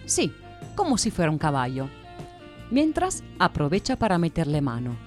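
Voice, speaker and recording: A woman's voice: low voice, wildly swooping pitch, normal speed, faint music behind. A woman giving scripted narration for a satirical audio drama.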